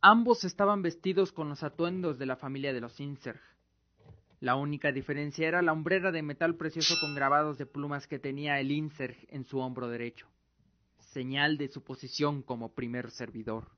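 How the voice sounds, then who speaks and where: A man's voice: fairly high pitch, normal speed, muffled recording. A man reading scripted dramatic narration as the storyteller of an audio drama.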